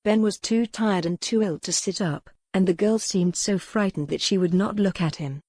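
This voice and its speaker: A woman's voice: medium pitch, moderate pace, mellow, no room sound. A woman reading a historical romance novel as a scripted, dramatic audiobook narration.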